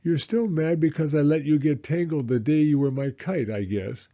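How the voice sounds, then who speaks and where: A man voicing a caring, tender-hearted small boy from a storybook, gentle and pleading. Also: a little high, moderate pace, muffled recording, pitched above the performer's own voice, natural voice.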